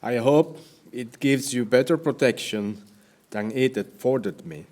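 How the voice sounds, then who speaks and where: A man's voice: medium pitch, measured pace, darkish tone, natural voice. An actor playing a weary, devout shipwrecked Spanish captain in an audio play, telling his story formally and dramatically. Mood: earnest, devout. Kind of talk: audio play monologue and dialogue.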